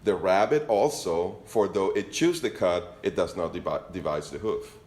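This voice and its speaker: A man's voice: sits low, big pitch swings, normal speed, a little mellow, roomy sound. Venezuelan-accented man teaching scripture. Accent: Venezuelan Spanish. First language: Spanish. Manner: conversational, joking, reads scripture aloud. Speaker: congregation teacher. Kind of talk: informal sermon teaching.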